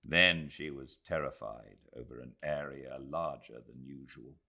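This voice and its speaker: A British man reading literary narration as an audiobook narrator, measured and expressive. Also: extremely low pitch, gravelly. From Britain.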